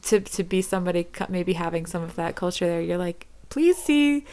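Host, woman from the us, lowish gravelly voice, chatty filler-heavy talk. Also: animated, brisk, warm tone. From United States. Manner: filler-heavy, uses "like" a lot. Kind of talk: casual interview chat.

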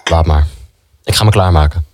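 A man's voice: very deep voice, moderate pace, background noise. A man acting a curt, zealous young collaborator brother in a wartime radio play. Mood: curt, dismissive.

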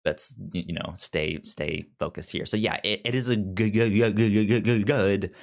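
A man hosting a podcast, casually discussing a book in a halting way, often repeating words. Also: fairly low pitch, vocal fry. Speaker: podcast host.

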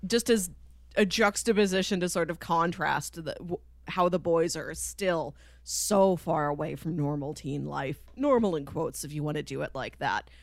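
A woman's voice: low-pitched, normal speed, creaky, no echo. A woman co-hosting a podcast, talking casually and articulately about pop culture in well-formed summaries. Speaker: podcast co-host.

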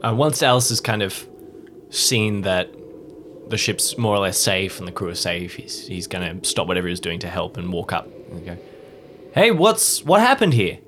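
A man's voice: low-pitched, little pitch movement, normal speed, a bit bright, a little hiss or hum. A man playing a tabletop game, casually improvising what his character does. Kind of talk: casual improvised action narration.

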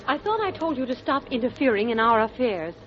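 A woman's voice: fairly high pitch, sing-song, brisk. An actress playing a haughty, impatient, cold woman in a 1940s radio drama. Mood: cold, annoyed. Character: haughty, impatient wife of a crook. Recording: dull old radio recording with music.

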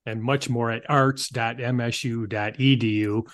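A man's voice: mid-range voice, steady tone, moderate pace. Polished male interview host. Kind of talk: interview hosting, prepared segues.

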